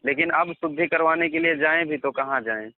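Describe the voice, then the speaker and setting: A man's voice: a little high, a little fast. A man calling for spiritual advice, speaking conversationally and deferentially over the phone. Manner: deferential, brief acknowledgements. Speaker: caller seeking spiritual advice. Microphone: telephone. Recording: tinny phone call recording.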